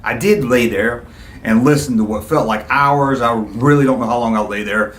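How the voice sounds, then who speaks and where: A man's voice: mid-range voice, normal speed, a bit bright, slight background noise. Middle-aged man reminiscing, roomy echo. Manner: enthusiastic, rambling, conversational. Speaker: YouTube video host. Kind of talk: casual nostalgic reminiscing to viewers.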